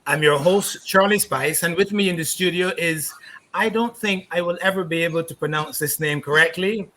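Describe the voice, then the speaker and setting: Bright, upbeat male talk host. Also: fairly high pitch, moderate pace. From Barbados. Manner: upbeat and effusive. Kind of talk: live online talk show.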